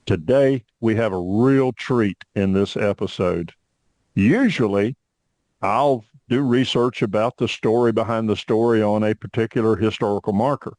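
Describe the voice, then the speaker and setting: A man's voice: fairly low pitch, normal speed, a little mellow, dry recording. A middle-aged man hosting a podcast, friendly and chatty, with frequent uhs as he talks. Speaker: podcast host.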